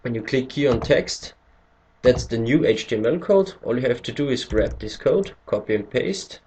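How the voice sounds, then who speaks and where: A man's voice: mid-range voice, lively intonation, moderate pace, a little mellow, a little hiss or hum. A man from Austria, a native German speaker with an Austrian German accent, hesitantly walking through a screen-recorded tutorial in English and searching for words as he goes. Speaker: tutorial maker.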